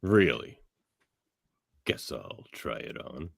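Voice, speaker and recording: A man playing a gruff, blunt, defiant tough-guy hero in a radio drama. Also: a bass voice, sing-song, slow pace, fairly bright tone, no room sound, studio quality, heavily acted. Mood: grudging.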